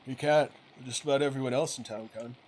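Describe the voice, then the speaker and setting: A man's voice: mid-range voice, fairly even intonation, fairly quick, darkish tone, natural voice. A man voicing a weary, resentful printer and father from a video game, curt, firm and reproachful, with a righteous streak. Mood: curt, grudging. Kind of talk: acted reading of video game dialogue. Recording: clearly noisy game ambience behind voice.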